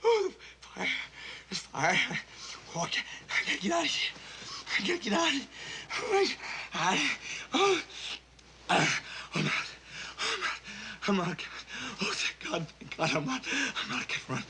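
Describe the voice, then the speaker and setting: An actor playing a terrified young airman reliving a plane crash, sobbing, shouting and pleading. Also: high-pitched, huge pitch swings, unhurried, a little hiss or hum, heavily acted. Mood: panicked.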